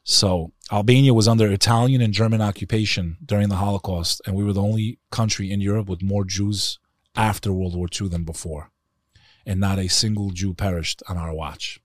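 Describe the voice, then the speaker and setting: A man with a very deep voice and a New York accent, speaking as a podcast guest in passionate, emphatic conversation. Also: steady tone, brisk, no echo, studio quality. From United States.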